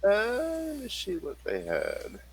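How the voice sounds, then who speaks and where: A man's voice: sits high, huge pitch swings, slow, a little hiss or hum. A man acting as game master, casually narrating a tabletop role-playing game and listing gear for the players.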